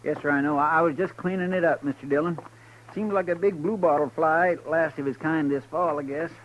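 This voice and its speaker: Guy playing a nervous country sidekick, stammery drawl, kinda high voice, dull old radio sound w/ hiss. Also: fairly even intonation, fairly quick, close to an everyday voice. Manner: rustic drawl, stammering, deferential. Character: simple, eager, fretful frontier sidekick. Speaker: deputy's sidekick. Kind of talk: 1950s radio drama acting.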